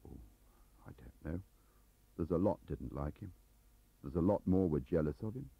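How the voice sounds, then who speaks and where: A lonely middle-aged English clerk as a male reader plays him confides and complains in a rambling, defensive way. The voice is husky and animated, on a muffled audiobook cassette. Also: extremely low pitch, moderate pace, dry recording, exaggerated voice. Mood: confiding. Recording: dull lo-fi cassette transfer.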